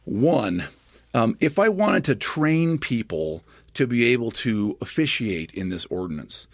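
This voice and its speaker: A man with a gravelly, creaky voice walks through his ideas as a podcast guest, talking with eager, lecturing energy. He keeps checking in with quick "right?" tags. The sound is close and dry, with no room around it. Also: medium pitch, big pitch swings, no room sound. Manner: enthusiastic, lecturing with many asides and "right?" tags. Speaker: podcast guest, scripture scholar. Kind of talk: explanatory podcast conversation.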